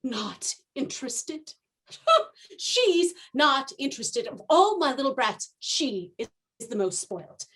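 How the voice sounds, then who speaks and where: A woman's voice: high-pitched, big pitch swings, takes it slowly, fairly bright tone, breathy edge, full character voice. A woman playing a nagging, exasperated mother on vacation in a stage play, scolding theatrically. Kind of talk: stage play comedy dialogue.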